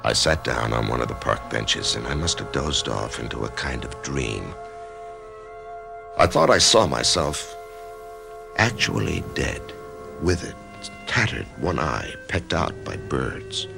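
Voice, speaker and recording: A troubled, death-haunted office man tells his tale in a radio drama. His voice is extremely deep and gravelly, yet it rises and falls a lot. A music score plays behind him. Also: sing-song, unhurried, full character voice. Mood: brooding. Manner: hesitant, brooding, stammering. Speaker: narrator-protagonist. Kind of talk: 1990s radio drama, first-person narration.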